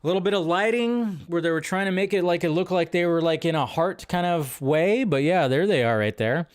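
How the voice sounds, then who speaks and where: A man hosts a live movie news stream in a loose, chatty ramble. His voice sits a little high for a man, and he keeps stringing thoughts together with fillers and restarts. It sounds like a desk mic at a streaming setup. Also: brisk, clean tone. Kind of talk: casual live-stream chat.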